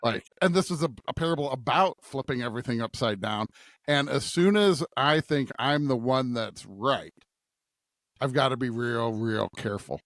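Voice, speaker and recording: A man's voice: mid-range voice, expressive, moderate pace, a bit bright, no room sound, clean studio sound. A man co-hosting a podcast, reflecting casually on scripture in an earnest way, repeating phrases for emphasis and checking in with his listener. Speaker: podcast co-host.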